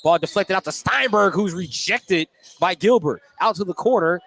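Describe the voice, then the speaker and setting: A man with a fairly high-pitched voice calls a basketball game for radio. He follows the action in short, running phrases over a headset, with faint gym noise behind him. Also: expressive, normal speed, creaky, a bit bright. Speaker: play-by-play basketball announcer. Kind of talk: live radio sports commentary. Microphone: headset microphone.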